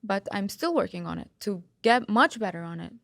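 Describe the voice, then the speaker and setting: Female singer from Lebanon as a guest, casual and halting, with a raspy, fry-edged tone. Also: medium pitch, animated, fairly bright tone. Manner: halting, repeats phrases, many fillers. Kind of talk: casual interview chat.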